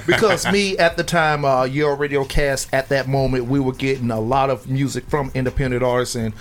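A man hosting a hip-hop chat, animated and talkative, often asking "you know what I'm saying". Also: mid-range voice, a little fast. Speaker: host. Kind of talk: casual interview chat.

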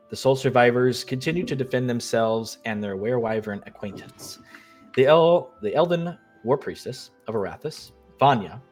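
A man in the middle of his range, slightly nasal as he gets over a cold, hosts a tabletop game and narrates a recap. He tells the story steadily, dotting it with "uh" and "um". Faint music sits underneath. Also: mid-range voice. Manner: steady storytelling with frequent "uh" and "um" fillers. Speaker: game master and host. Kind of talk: show intro and recap narration.

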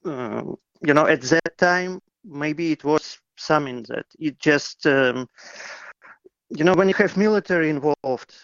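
A man's voice: on the high side, measured pace, a bit bright, clean tone, no echo, muffled recording. A man from Ukraine calling in to a radio talk show, speaking hesitantly with many fillers and restarts over an internet call line. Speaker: caller.

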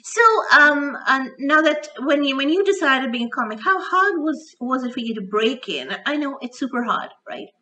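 A woman hosts a radio and podcast interview with a bright, forceful tone, her voice set a little high. She asks her guest questions in an upbeat, eager way. She sounds a bit far from the microphone. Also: expressive, moderate pace, few pauses. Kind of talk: conversational interview. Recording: distant from the mic.

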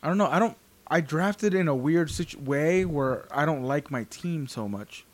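A man's voice: on the high side, lively intonation, moderate pace. A young man co-hosting a podcast, talking casually in a rambling, hesitant way and swearing often. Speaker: podcast co-host. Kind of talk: casual chat.

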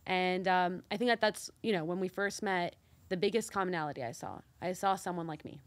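Woman, lowish voice, dry close sound, earnest, reflective, co-host chatting. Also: a little fast, dry recording. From Mexico. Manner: earnest, reflective, many fillers. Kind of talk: casual chat.

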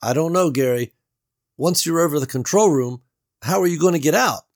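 A man's voice: a little high, moderate pace, pitched above the performer's own voice, close to an everyday voice. A man voicing Beverly, a woman locked in a closet who stays patient with a hapless coworker while barely holding in her frustration. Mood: exasperated. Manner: patient but exasperated.

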